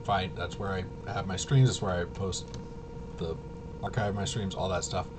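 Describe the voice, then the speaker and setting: A man chatting casually as a livestream host, halting, often interrupting himself and trailing off. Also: low-pitched, flat delivery, background noise. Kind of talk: casual rambling livestream chat.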